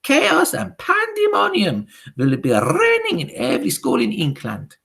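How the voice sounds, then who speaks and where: A woman voicing a gloating, disgusting old Grand High Witch in a storybook, chanting theatrically in broken grammar. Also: huge pitch swings, a little slow, vocal fry, a bit bright, exaggerated voice. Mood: gleeful ranting. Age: older. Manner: theatrical, chanting, ungrammatical speech. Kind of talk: theatrical storybook character reading.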